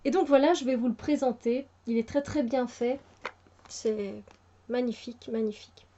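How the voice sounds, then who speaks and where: Woman, tarot deck review, fairly high voice, hesitant with fillers, enthusiastic, muffled lo-fi sound. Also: normal speed, dark tone, slight background noise. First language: French. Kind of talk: casual spoken product review. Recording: muffled lo-fi home recording.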